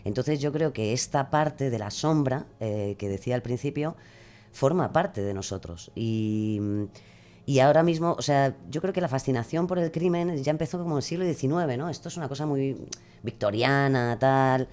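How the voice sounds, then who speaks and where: A woman's voice: extremely low pitch, moderate pace, a little mellow. Female podcast guest, hesitant, musing, conversational interview.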